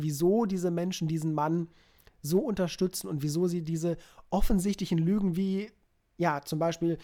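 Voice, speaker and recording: Male co-host, mid pitch, opinionated and animated, stumbles over words. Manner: animated opinions, stammering restarts. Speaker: podcast co-host. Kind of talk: casual remote podcast chat. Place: home, recording remotely.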